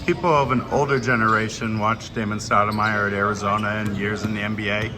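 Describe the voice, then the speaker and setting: A man interviewing a basketball recruit, asking brisk, direct questions. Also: on the low side, level tone, noisy background.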